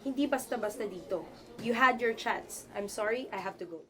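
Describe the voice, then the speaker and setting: A woman's voice: mid-range voice, normal speed, music in the background, lightly acted. A woman with a Filipino accent acting as a stern, scolding college professor in a radio drama.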